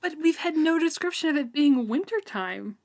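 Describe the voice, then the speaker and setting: A woman's voice: sits high, animated, normal speed, darkish tone. A woman co-hosting a casual book discussion, retelling the story in an informal, wryly incredulous way. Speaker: co-host of a book read-along show. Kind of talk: casual chat, recapping a fantasy novel.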